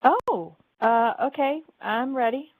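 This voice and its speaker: A woman's voice: high voice, lively intonation, takes it slowly, dry recording, narrow, tinny audio, heavily acted. A woman playing an earnest, plucky young female alien in a sci-fi game audio drama, a little unsure of herself. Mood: tense, plucky. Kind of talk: fan audio drama, game-story character acting.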